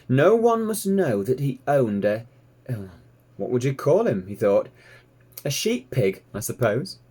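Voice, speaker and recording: A man narrates a children's storybook like a teacher reading to a class. His voice is warm-toned and a little husky, with very lively rises and falls. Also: mid-range voice.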